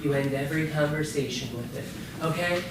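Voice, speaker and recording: A woman's voice: deep voice, a little fast. A woman playing a touchy, fed-up camp counselor in an improv scene. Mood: exasperated. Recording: distant live stage, roomy echo, loud noise.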